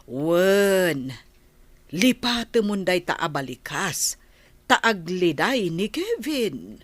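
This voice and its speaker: A grandmother in a village radio comedy, played by a woman, with a slightly low voice that rises and falls a lot. She talks slowly and earnestly, and the recording is very dry. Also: sing-song, no room sound. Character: older grandmother arranging a wedding. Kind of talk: radio comedy drama acting.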